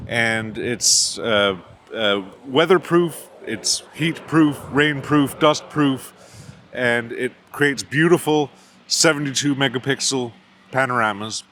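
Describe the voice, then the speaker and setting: A man from the United States, a company founder, explaining a product in a steady technical interview with frequent filler sounds. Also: mid-range voice, slow pace, a little hiss or hum.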